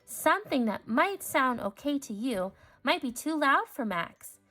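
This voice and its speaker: A woman voicing a kind, patient female teacher in a storybook, explaining things gently. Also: high-pitched, very animated, unhurried, soft background music, voice pushed up, exaggerated voice.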